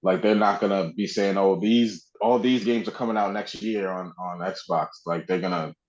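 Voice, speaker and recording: Low-voiced guy on a gaming podcast, super casual, says like a lot, kinda muffled lo-fi sound. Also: steady tone, moderate pace. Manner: informal, conversational, frequent "like". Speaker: podcast co-host. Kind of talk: casual video game discussion.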